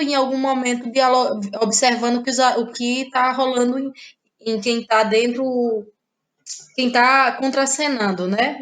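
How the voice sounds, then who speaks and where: A woman with a Cearense accent from northeastern Brazil talks in a fairly high voice. She is a playwright and actress being interviewed, reflective and conversational, and she often ends phrases with a little tag seeking agreement. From Ceará, northeastern Brazil.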